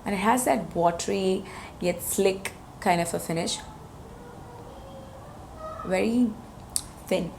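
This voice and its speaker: A woman in her thirties with a hoarse voice, chatting enthusiastically as she reviews makeup products on her channel. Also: medium pitch, slow pace, pauses often, a little mellow, a little hiss or hum. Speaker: beauty vlogger.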